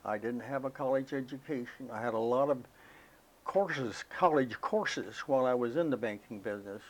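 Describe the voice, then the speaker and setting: An elderly American man recalling his life as a veteran in an interview, speaking in a halting, reflective, unhurried way. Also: mid-range voice, low-quality recording. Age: elderly, 80s or older. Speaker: interviewee, war veteran recalling military service. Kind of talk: oral history interview, personal recollection.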